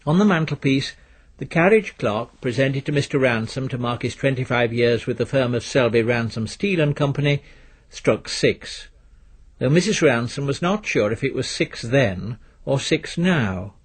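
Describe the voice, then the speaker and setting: A man reading a literary story as narrator in a measured, dry storytelling manner. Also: mid-range voice, lively intonation, breathy edge. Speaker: narrator, reader.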